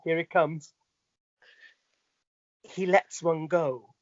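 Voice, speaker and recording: A man's voice: fairly high pitch, steady tone, very slow, no room sound, muffled recording. A middle-aged Welsh man chatting casually as an interview guest, telling anecdotes and checking that the listener follows. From Wales.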